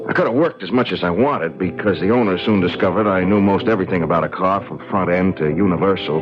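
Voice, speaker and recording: An actor playing an earnest, brash young working-class student who tells his story colloquially in a 1970s radio drama. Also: deep voice. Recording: dull old radio broadcast, music behind.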